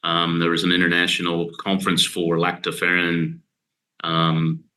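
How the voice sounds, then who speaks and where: An American man in his fifties, a dairy scientist being interviewed, explaining his work steadily and in detail with frequent pauses. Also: low-pitched, monotone, pushed and bright. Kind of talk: casual explanatory interview talk.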